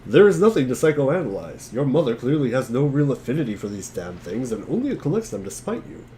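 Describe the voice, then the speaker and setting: A man's voice: mid-range voice, very animated, fairly quick, mellow, rough recording. A man giving a theatrical reading of narration, his throat a bit strained. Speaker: reader in a group dramatic reading. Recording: faint background noise, room ambience.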